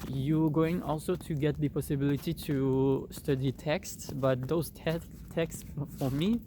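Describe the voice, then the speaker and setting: A young man with a French accent rambles through an app review, stacking fillers and restarting his sentences. His voice sits slightly high for a man. He records outdoors on his phone, with a faint hiss behind him. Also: steady tone, a little slow. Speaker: vlogger. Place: outdoors in cold weather. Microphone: phone held by the speaker.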